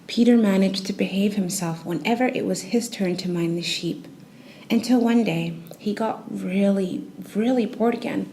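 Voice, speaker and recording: A woman storyteller reading a children's bedtime tale in a gentle, expressive, soothing narration style. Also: mid-range voice, normal speed, a little hiss or hum.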